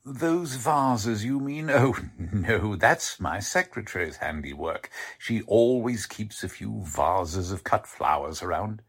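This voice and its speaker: A fussily formal warehouse manager from a 1940s radio mystery. His husky voice swings up and down as he politely, hesitantly explains and defends himself. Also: lowish voice, expressive, slow pace, hardly any pauses, a bit bright, full character voice. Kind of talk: 1940s radio drama acting.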